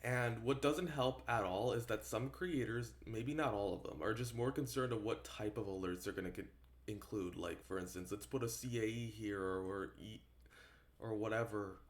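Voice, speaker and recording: Halting, rambling man talking off the cuff. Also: on the low side. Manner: halting, rambling, repeats himself for emphasis. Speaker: online video creator. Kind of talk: casual unscripted opinion talk to viewers.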